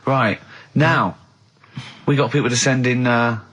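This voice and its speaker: A man's voice: mid-range voice, measured pace, a bit bright. A man hosting casual radio banter, teasing and prodding with questions. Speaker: radio show host.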